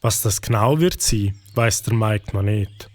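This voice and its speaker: A man's voice: fairly low pitch, measured pace, a little mellow, no room sound. A man narrating a scripted children's story.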